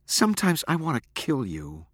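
Audiobook role: practical, insistent teenage girl read by a man, raised pitch, lively swings, close dry sound. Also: moderate pace, dry recording, exaggerated voice. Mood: hurt, loving. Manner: scolding, insistent, firm. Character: stern, practical, devoted teenage girl sweetheart. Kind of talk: audiobook character acting.

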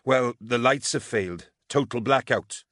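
A man's voice: medium pitch, measured pace, a bit bright, dry recording, studio quality, voice pushed up, close to an everyday voice. Man as an anxious, urgent woman trooper. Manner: urgent, deferential. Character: anxious young-sounding female army trooper reporting to superiors. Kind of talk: audiobook character acting.

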